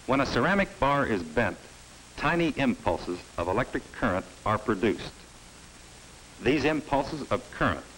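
A middle-aged man presenting a vintage promotional film in a studio, giving a measured, emphatic sales pitch. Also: fairly bright tone, a little hiss or hum, poor audio. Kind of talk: scripted promotional narration. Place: studio set. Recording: old film soundtrack with faint music.

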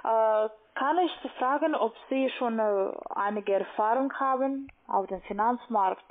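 Casting: woman, non-native with foreign accent, halting phone sales agent, thin telephone sound. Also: fairly high pitch. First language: not German. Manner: halting, searching for words. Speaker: call-center investment agent. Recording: tinny narrow phone line.

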